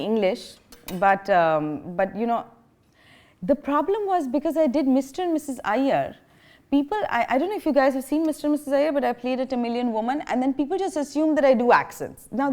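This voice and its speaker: A woman's voice: a little high, darkish tone. A woman in her thirties from India, whose first language is Bengali, giving a public lecture in a chatty, self-deprecating way, with frequent laughs, fillers and restarts. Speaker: guest speaker. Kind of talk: public lecture, personal anecdotes. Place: lecture hall.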